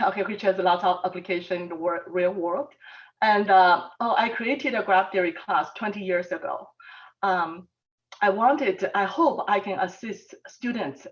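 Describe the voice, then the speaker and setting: Middle-aged woman from Taiwan, soft-spoken and hesitant, mid pitch, heard from afar on a lo-fi call. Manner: soft-spoken, frequent ums, halting. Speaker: mathematics professor, panelist. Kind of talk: personal talk at an online panel. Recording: distant, dull lo-fi sound.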